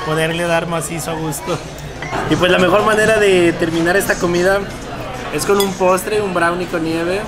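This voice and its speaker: A man in his thirties with a Mexican accent, chatting casually to camera as a vlog co-host. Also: high voice. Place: busy restaurant. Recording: loud music and restaurant crowd noise.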